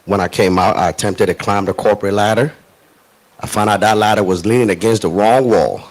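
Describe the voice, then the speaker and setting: A middle-aged man from Ghana speaking as a guest on a panel in front of a live audience, in a measured and reflective way. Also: fairly low pitch, noisy background. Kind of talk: panel discussion.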